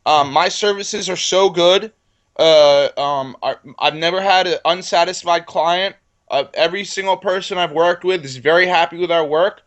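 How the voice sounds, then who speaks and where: A man's voice: high voice, fairly bright tone. A man in his twenties speaking as an entrepreneur guest in an interview, confident and boastful, driving points home with repeated phrases. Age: 20s. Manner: self-assured, boastful. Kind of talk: conversational business pitch in an interview.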